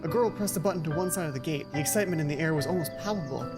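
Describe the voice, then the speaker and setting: Low-pitched woman gamer over music. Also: racing. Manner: playful, self-interrupting asides. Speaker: let's play streamer. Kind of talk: reading game script aloud with casual commentary. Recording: music playing behind voice. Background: video game music.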